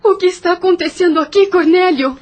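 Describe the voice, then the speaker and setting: Spirit of a young mother, female actor: high voice, earnest, sorrowful, formal audio drama delivery. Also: brisk, darkish tone. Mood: shocked, indignant. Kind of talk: audio novel acting.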